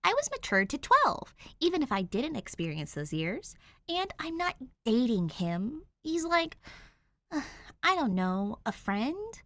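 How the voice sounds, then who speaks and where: A woman playing a gruff young girl. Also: high voice, huge pitch swings, takes it slowly, a bit bright, no echo, pitched above the performer's own voice, full character voice. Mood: defensive.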